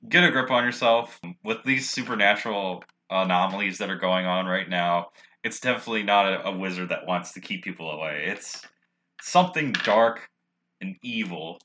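Man playing a low, stern adventurer. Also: moderate pace, sharp tone, slight background noise. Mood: grim resolve. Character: stern, resolute male adventurer with a war pick.

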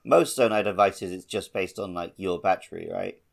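A man's voice: low-pitched, moderate pace, gravelly. A man co-hosting a gaming podcast in casual conversation. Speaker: podcast co-host.

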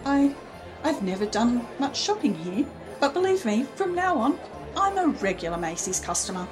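Actress for talkative, easily astonished female customer; high-ish, very animated, radio play style. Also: moderate pace, music in the background. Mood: gushing, grateful. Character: astonished, gushing lady shopper, excitable and talkative. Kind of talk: old-time radio play acting.

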